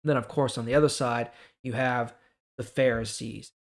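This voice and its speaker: A man's voice: mid-range voice, steady tone, normal speed. A man hosting a Bible reading, explaining scripture informally and checking in with the listener.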